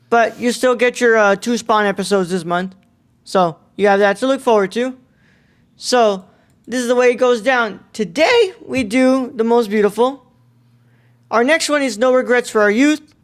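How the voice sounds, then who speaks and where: A man's voice: very high voice, normal speed, clear, resonant tone. A man co-hosting a film podcast, talking casually and informally. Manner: informal, mild swearing. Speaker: podcast co-host.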